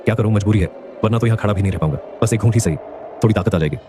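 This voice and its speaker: An actor voicing a poor young laborer in an animated story, earnest and pleading. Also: male voice, fairly low pitch, rapid-fire, over music, close to an everyday voice. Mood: shivering.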